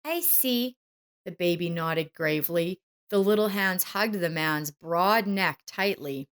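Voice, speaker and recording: A woman's voice: fairly low pitch, unhurried, fairly bright tone, clean tone. A woman reading a scripted, dramatic story as its narrator.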